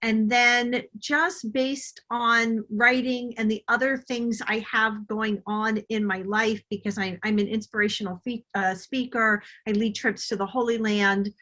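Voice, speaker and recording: A woman's voice: fairly high pitch, fairly even intonation, measured pace, few pauses, pushed and bright. A middle-aged woman answering interview questions as a guest author, explaining herself in a reflective, conversational way.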